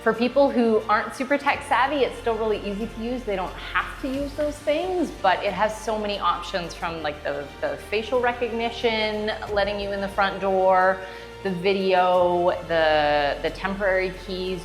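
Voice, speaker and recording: A woman's voice: medium pitch, normal speed, low-quality recording. A woman giving a customer testimonial in a casual, explanatory, conversational interview style. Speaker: customer giving a testimonial, strata council member. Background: background music.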